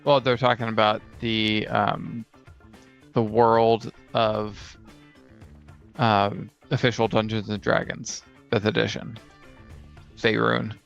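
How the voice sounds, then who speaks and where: Man, creaky fry, smooth resonant tone, podcast co-host, halting casual chat, slightly dull recording. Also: on the low side, flat delivery, soft background music. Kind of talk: casual chat about tabletop gaming.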